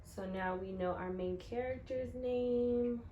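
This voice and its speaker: A woman with a fairly high voice reads a children's story aloud like a teacher. Her delivery is expressive and deliberate, with instructive asides. The room rings with clear echo. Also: highs cut off, a little hiss or hum. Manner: deliberate, instructive, checks understanding with questions. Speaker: teacher reading a picture book aloud. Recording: echoey room.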